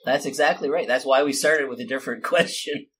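A man's voice: mid-range voice, fairly quick, fairly bright tone. A man hosting a loose, unscripted conversation in a joking, interjecting manner. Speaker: podcast host.

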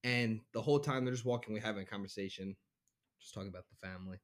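A man's voice: on the low side, racing. A man co-hosting a podcast, chatting casually about a TV episode in a joking way and acting out reactions. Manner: joking, mimics characters' reactions. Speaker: podcast co-host. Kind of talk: casual chat reviewing a TV episode.

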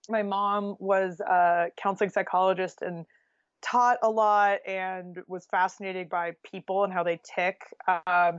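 A woman's voice: fairly low pitch, no echo. A woman with an American accent talking casually and candidly as an interview guest, with a wry streak. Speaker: journalist and author, podcast guest. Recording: dull, lo-fi sound.

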